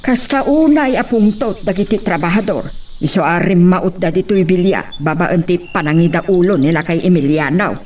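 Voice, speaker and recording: A woman's voice: fairly low pitch, normal speed, a little mellow, recorded from a distance, a little hiss or hum, natural voice. An actress with an Ilocano accent playing a reproachful village woman in a radio drama.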